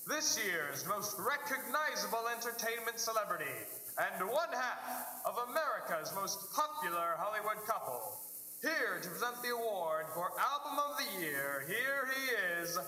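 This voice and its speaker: A man's voice: high-pitched, lively intonation, normal speed, sharp tone, heavily acted. A male actor playing a grandiose awards show announcer in a stage play. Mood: grand, hyped. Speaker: actor in a high school play. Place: theater, heard over darkened stage. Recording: echoey hall PA, distant, humming.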